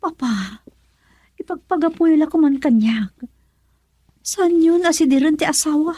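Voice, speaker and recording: A woman playing a soft-hearted, worried daughter in a radio drama, pleading and conciliatory. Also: high voice, expressive, a little slow, no echo, clean studio sound.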